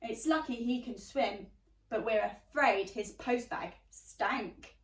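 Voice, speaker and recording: A woman reading a children's picture book aloud expressively, pausing to ask her young listeners questions. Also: on the high side, distant mic. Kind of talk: animated children's story reading. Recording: roomy, echoey, away from the mic.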